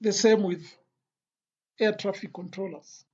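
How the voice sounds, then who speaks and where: An instructor giving an explanatory lecture in a deliberate, phrase-by-phrase way with pauses. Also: mid-range voice, steady tone, a little mellow, husky. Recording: dull, lo-fi voice recording.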